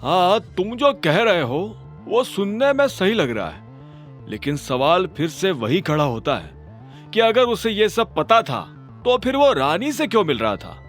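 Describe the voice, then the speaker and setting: A man doing a loud, blunt mafia boss. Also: high-pitched, big pitch swings, unhurried, faint music behind, pitched above the performer's own voice, exaggerated voice. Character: older male mafia boss, blunt and excitable.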